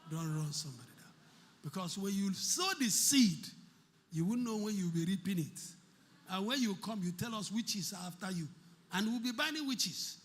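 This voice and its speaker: A man's voice: high voice, expressive, moderate pace. A man preaching a sermon, repeating key phrases and asking rhetorical questions. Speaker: pastor.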